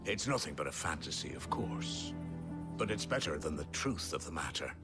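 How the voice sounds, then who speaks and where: A man's voice: a bass voice, big pitch swings, normal speed, over loud music, heavily acted. A man acting a weary, deferential villager in a video game, with an earnest and careworn manner. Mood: bleak.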